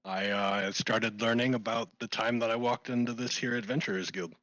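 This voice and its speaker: A man's voice: lowish voice, steady tone, normal speed, a bit bright, no echo, rough recording. A man playing a tabletop game, chatting casually and speaking for his character. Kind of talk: casual chat and in-character roleplay.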